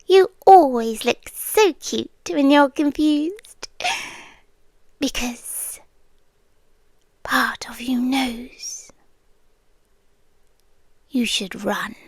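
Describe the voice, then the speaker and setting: A woman with a British accent voicing a possessive, doting vampire girlfriend in intimate ASMR roleplay, breathy and often whispering close to the microphone. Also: high voice, darkish tone, dry recording, heavily acted. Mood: playful, menacing. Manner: slow, lingering, teasing menace. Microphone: close microphone, dry.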